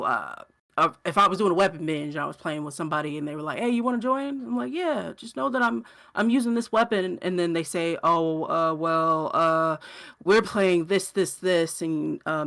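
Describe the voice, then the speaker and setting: A podcast co-host chatting casually about gaming, with informal, somewhat halting phrasing. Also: medium pitch, vocal fry, a bit bright.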